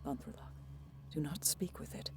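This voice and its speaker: An actress playing a wary, prophetic alien seer in a science fiction audio drama, solemn, measured and breathy. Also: female voice, slight background noise, close to an everyday voice.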